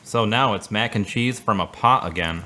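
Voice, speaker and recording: A man acting a mild, apologetic, dryly self-pitying boyfriend in a low-key radio drama performance. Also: normal speed, bright, forceful tone. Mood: deflated. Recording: clearly noisy, clicky amateur recording.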